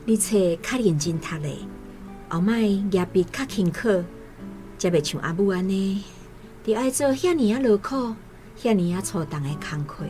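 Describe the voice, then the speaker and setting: A woman's voice: medium pitch, animated, moderate pace, close to an everyday voice. An actress playing a stoic, loving village mother in a radio drama, homely and earnest, with a Taiwanese accent. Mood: gently scolding. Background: soft guitar music.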